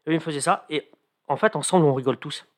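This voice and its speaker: A man's voice: on the high side, fast. A man who writes comedy columns for the radio, chatting in an interview with plenty of hesitations and fillers. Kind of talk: casual radio interview chat.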